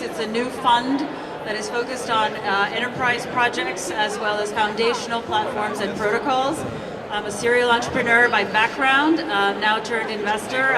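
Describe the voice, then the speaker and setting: A woman hosting a panel, giving an introduction with a welcome to the audience as a fund founder. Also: on the high side. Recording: distant mic, noisy crowded room.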